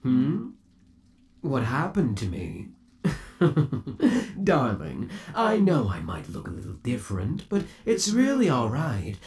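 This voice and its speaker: A man's voice: mid-range voice, wildly swooping pitch, slow, a little mellow, heavily acted. A man playing an undead, obsessive boyfriend trapped in a mechanical suit, sweet-talking and possessive in a theatrical ASMR roleplay. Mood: teasing. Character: undead yandere boyfriend trapped in springlock suit, menacingly doting. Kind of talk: ASMR roleplay, scripted monologue to the listener.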